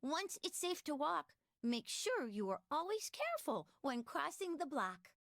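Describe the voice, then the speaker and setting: A woman's voice: high-pitched, wildly swooping pitch, unhurried, vocal fry, a bit bright, no echo, studio quality, full character voice. A woman voicing an eager cartoon child in a preschool game, giving instructive tips in sing-song rhyme. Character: bright-eyed cartoon child giving safety and nature tips. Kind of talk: scripted rhyming children's game narration.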